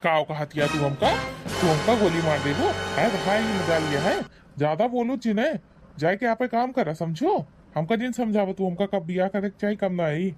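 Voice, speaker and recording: A man's voice: high voice, expressive, normal speed, faint music behind. A man playing a blustering, defensive old village husband in a rural comedy cartoon, scolding and rambling in an Awadhi accent. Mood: indignant. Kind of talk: rustic comedy cartoon acting.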